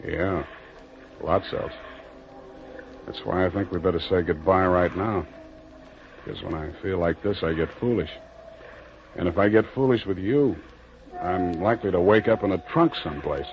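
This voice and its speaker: A man's voice: sits low, normal speed, long pauses, slight background noise. A man playing a hardboiled, wry private detective with an American accent and a clipped, unsentimental delivery, in 1940s radio noir style. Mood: tender, resigned. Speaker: lead actor and narrator. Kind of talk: 1940s radio noir acting, first-person narration. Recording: dull, lo-fi 1940s radio recording with music.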